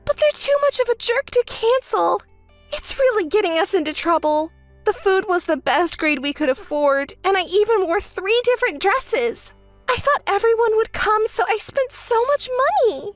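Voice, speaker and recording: A woman's voice: animated, moderate pace, dry recording, faint music behind. A woman voicing a selfish, whiny young woman who begs and complains to her sister in a story. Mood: complaining. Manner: shrill, begging, self-pitying. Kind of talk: storytelling with acted dialogue.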